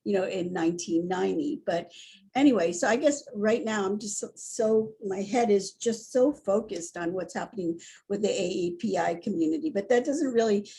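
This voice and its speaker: A woman in her sixties from Hawaii, speaking as a guest in an animated, candid conversation full of restarts and asides. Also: medium pitch, normal speed, gravelly, husky. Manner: animated and candid, with frequent restarts and "you know". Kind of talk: conversational storytelling.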